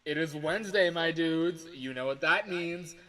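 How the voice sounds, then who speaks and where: A man rehearsing game voice lines as a chirpy phone guy leaving a recorded work message, with a loud greeting. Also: fairly high pitch, normal speed, pitched above the performer's own voice, low-quality recording. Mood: upbeat, announcing.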